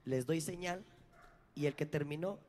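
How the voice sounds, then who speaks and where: A man hosting a live event and slowly explaining the round's rules to the audience on a large indoor stage. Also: a little high, darkish tone, a little hiss or hum. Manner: slow, explanatory. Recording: reverberant hall on live stage mic.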